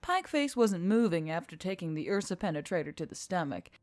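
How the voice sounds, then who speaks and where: A woman's voice: lowish voice, big pitch swings, fairly quick, darkish tone, faint music behind. A woman reading scripted action-fantasy fiction as an audiobook narrator.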